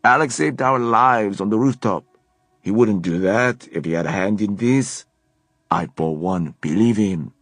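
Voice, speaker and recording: A man's voice: lowish voice, unhurried, fairly bright tone, no room sound. A man voicing a flamboyant young French man with a French accent, gushing and theatrical. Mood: effusive. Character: flamboyant effusive young French male Shadowhunter.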